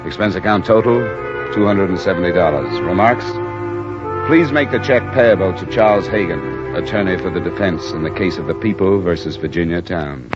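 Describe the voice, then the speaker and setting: An actor playing a hard-boiled insurance investigator in a 1950s radio drama, clipped and matter-of-fact. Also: male voice, sits low, normal speed, close to an everyday voice. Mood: dry. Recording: dull old radio transcription with music.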